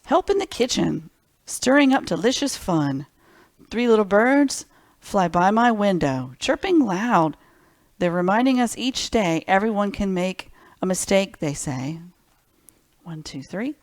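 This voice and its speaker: Slow-paced woman reading picture books aloud. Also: medium pitch, expressive, dry recording, studio quality. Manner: simple, child-directed talk with questions to listeners. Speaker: children's library storytime host. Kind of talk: expressive picture-book read-aloud for young children.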